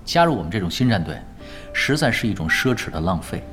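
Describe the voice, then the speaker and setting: A man's voice: fairly low pitch, sing-song, fast talker, a bit bright. A man in an audio drama playing a confident veteran gamer, nonchalant and teasing. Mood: calm, confident. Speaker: voice actor in a radio drama. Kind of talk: naturalistic audio drama dialogue. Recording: music behind the voice.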